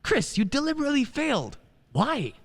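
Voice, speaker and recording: A woman plays a well-meaning male lawyer in a radio drama, earnest and loyal. The delivery swings widely in pitch, in a reverberant hall. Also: huge pitch swings, a little fast, gravelly, exaggerated voice. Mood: concerned, pleading. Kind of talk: radio play acting. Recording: echoey hall.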